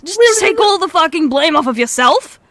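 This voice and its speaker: A woman's voice: very high-pitched, lively intonation, brisk, crowd noise. A woman playing a defensive, exasperated young woman in an audio roleplay drama. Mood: defensive, upset.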